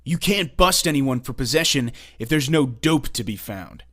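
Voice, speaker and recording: A man's voice: mid-range voice, lively intonation, normal speed, fairly bright tone. A man playing a confident, commanding young costumed vigilante in a comic dub, cool, assured and condescending. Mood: calm, commanding. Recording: faint music behind the voice.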